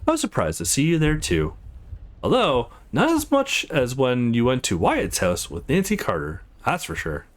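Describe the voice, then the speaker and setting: A man voicing a cold, condescending wealthy businessman in a visual novel, measured and mocking. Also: mid-range voice, huge pitch swings. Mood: smug, mocking.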